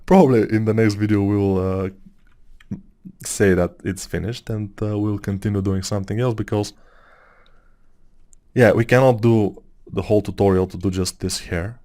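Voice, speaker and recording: A man from Bulgaria, around his thirties, with a Bulgarian accent and a slightly low voice. He talks a 3D sculpting tutorial through in a loose, musing way, drifting off topic and filling pauses as he works. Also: fairly even intonation, mellow. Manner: rambling, musing, with many fillers. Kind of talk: casual tutorial talk over screen work.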